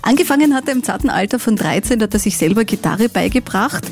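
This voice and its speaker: A woman's voice: fairly high pitch, animated, fast talker, darkish tone. A woman with an Austrian accent, hosting a conversational interview in a radio studio. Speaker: radio host interviewing a musician.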